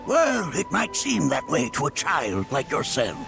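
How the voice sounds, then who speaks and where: Kindly old game sage, grandfatherly, high creaky voice, takes his time. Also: huge pitch swings, unhurried, music in the background, full character voice. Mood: amused, kindly. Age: older. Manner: chuckling, grandfatherly. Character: jovial, kindly old male sage and planetology teacher. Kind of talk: video game voice acting.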